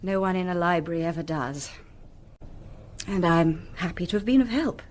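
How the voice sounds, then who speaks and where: An actress as a polite, hesitant young librarian. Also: female voice, lowish voice, expressive, normal speed, darkish tone, close to an everyday voice. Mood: friendly, bashful. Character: helpful, shy but warm young female librarian. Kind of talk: radio drama acting.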